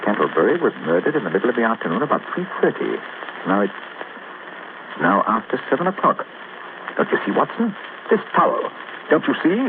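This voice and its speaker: A man acting a brilliant, self-assured British detective in a vintage radio mystery. He is precise and faintly condescending, with a very lively, swooping delivery. The sound is noisy and narrow. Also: medium pitch, brisk, pauses often, natural voice. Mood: probing. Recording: noisy, tinny old radio recording.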